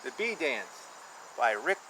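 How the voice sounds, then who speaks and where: A man reading a children's picture book aloud and explaining it informally in simple terms, with an animated manner. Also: medium pitch, slow pace, background noise.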